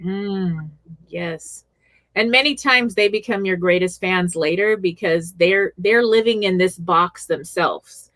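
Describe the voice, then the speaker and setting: A woman's voice: on the low side, slow, a bit bright, no room sound. A woman radio host interviewing a guest, giving encouraging short affirmations and asking questions.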